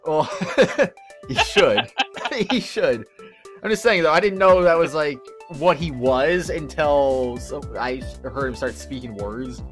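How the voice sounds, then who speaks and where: A man's voice: fairly high pitch, lively intonation, slow, a bit bright. A man hosting a casual let's-play, chatting, giggling and reading game dialogue aloud. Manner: giggly, reads lines aloud in put-on voices. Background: game music.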